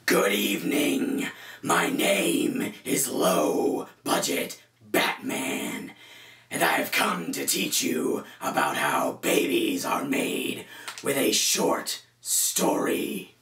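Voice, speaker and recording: Slow, gravelly budget superhero growl. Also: male voice, medium pitch, wildly swooping pitch, a bit bright, slight background noise, heavily acted. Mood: mock solemn. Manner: dramatic, halting, deliberate delivery. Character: low-budget parody Batman, gravelly earnest masked hero. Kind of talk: comedic story reading in character. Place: small bedroom, indoors. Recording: roomy echo, music underneath.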